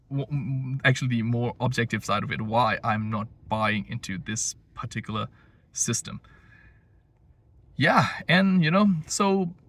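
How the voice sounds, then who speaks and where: A man's voice: medium pitch, bright, forceful tone, no echo. A man giving a blunt, rambling opinion monologue as a language-learning vlogger, full of fillers and restarts. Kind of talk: casual opinion monologue to camera. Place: home in Japan.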